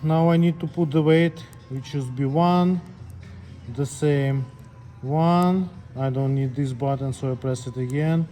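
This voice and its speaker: Higher-voiced man giving step-by-step instructions, mellow tone. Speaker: scale technician demonstrating calibration. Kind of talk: hands-on tutorial, unscripted step-by-step instructions.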